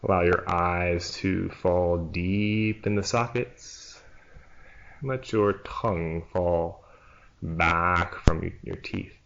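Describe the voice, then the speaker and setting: A man leading a guided yoga nidra relaxation as a teacher, in a hushed, soothing manner with long pauses between short phrases. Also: deep voice, fairly even intonation, gravelly, slight background noise. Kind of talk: slow guided relaxation meditation. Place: church room, live class. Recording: dull, muffled live recording.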